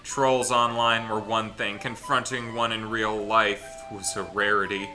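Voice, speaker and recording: A man's voice: lowish voice, little pitch movement, measured pace. A man hosting a group let's play, reading a visual novel aloud theatrically and breaking off for casual joking asides. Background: game music.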